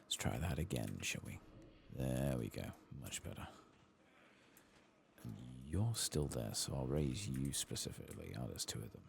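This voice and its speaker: A man doing soft-spoken, partly whispered ASMR game commentary, murmuring to himself in an understated way. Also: extremely low pitch, sing-song, normal speed, creaky, slight background noise.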